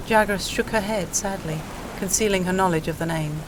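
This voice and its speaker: A woman's voice: fairly low pitch, brisk, noisy background. A woman reading scripted dramatic narration for an audio play.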